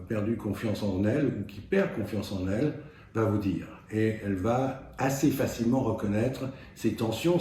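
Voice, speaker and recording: A man giving an explanatory self-help talk as a doctor, in a measured, didactic and halting way with pauses. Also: lowish voice, mellow. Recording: very echoey room, faint noise.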